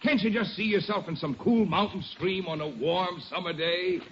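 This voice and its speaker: An American man playing an eager, flattering sporting-goods salesman with chuckling patter in a 1940s radio sitcom. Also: sits high, moderate pace. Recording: muffled, slightly noisy 1940s radio.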